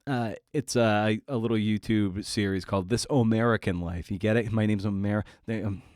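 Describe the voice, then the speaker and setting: A low-voiced man talks casually by himself as a podcast host. His manner is wry, rambling and self-deprecating. The recording is dry and close, with very little room sound. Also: steady tone, moderate pace, a little mellow, no room sound. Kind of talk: casual solo podcast monologue.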